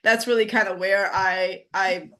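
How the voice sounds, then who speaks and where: A woman's voice: medium pitch, steady tone, unhurried, pushed and bright. A woman in her forties from Guyana, a nurse practitioner telling her story as an interview guest, with many fillers and easy laughs. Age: 40s. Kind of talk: interview conversation.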